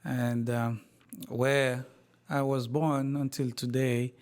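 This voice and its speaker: A man from Haiti, a university president, telling his life story in an interview in a slow, measured way with frequent pauses and repeated words. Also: mid-range voice, flat delivery, a little mellow, smooth.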